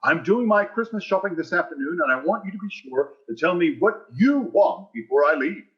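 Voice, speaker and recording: A man's voice: high-pitched, animated, fairly quick. A pompous school principal acted by a man in an old-time radio sitcom. His delivery is florid and slyly wheedling, swinging up and down with great animation. The sound is slightly muffled and dull. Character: pompous, wheedling, greedy male school principal, falsely magnanimous. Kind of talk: 1940s radio sitcom acting.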